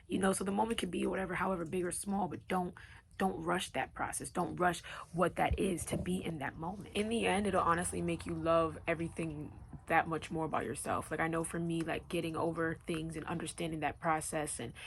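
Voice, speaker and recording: A young woman from Long Island with a New York accent, a podcast host with a deep, raspy voice, talking to her listeners in an earnest, motivational way. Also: a little hiss or hum. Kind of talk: casual solo podcast talk.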